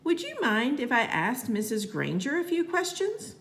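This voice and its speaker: Woman narrator playing female reporter, storybook dialogue, courteous, inquisitive, persistent, animated intonation. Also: on the high side, normal speed, voice pushed up. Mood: probing. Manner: polite, probing questions. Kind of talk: acted dialogue in a children's read-aloud.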